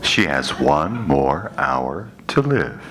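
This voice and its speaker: A deep, menacing stranger on the phone. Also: male voice, sing-song, a crowd in the background, full character voice. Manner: slow, menacing. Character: sinister male phone voice, cold threat. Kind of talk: old-time radio drama reading.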